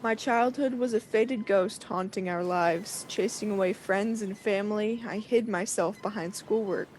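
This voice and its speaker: A woman's voice: a little slow, darkish tone, natural voice, poor audio. A woman playing a withdrawn, bitter teenage girl in a scripted radio play. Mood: bitter, sad. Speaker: actor in a college radio play. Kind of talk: scripted radio drama monologue. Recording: faint low rumbling noise.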